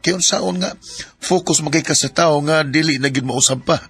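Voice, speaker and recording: A man's voice: on the high side, moderate pace, a bit bright, husky, soft background music. A man playing a devoted male best friend in a radio drama, earnest and pleading. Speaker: best friend turned suitor.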